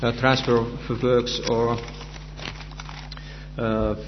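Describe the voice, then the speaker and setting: Finnish accented guy giving a talk, flat monotone, lots of uh and restarts, echoey hall, faint hum. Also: mid-range voice. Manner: halting, many fillers and restarts in a non-native language. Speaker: conference presenter, researcher. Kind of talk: conference lecture. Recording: dull, reverberant hall recording with faint hum.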